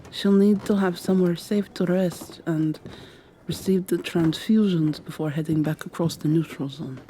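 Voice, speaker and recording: A woman performs a gentle, anxious medic in a vampire roleplay. She sounds earnest and hesitant, with a mellow tone and a faint hiss of noise behind her. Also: medium pitch, close to an everyday voice. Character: anxious, gentle, caring medic helping a captive escape. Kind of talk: ASMR roleplay.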